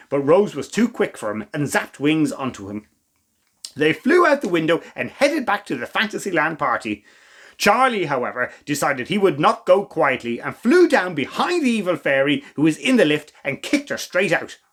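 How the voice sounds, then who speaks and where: A man reading a children's story in an expressive, theatrical way. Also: a little high.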